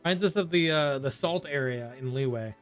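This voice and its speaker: A man's voice: a little high, normal speed, clean tone. A man giving casual video game playthrough commentary, reacting and reading dialogue aloud. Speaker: gaming streamer. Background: soft game music.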